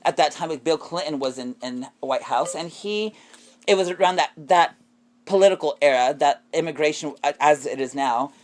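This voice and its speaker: A man's voice: a little high, moderate pace. A man in a casual, opinionated podcast discussion, telling things in a rambling way and correcting himself as he goes. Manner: rambling, self-correcting storytelling.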